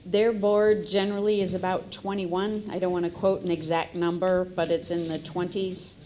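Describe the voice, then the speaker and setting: A city staff member answers a council question in a matter-of-fact way. Her delivery is flat and low-pitched, with a little background noise. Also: moderate pace.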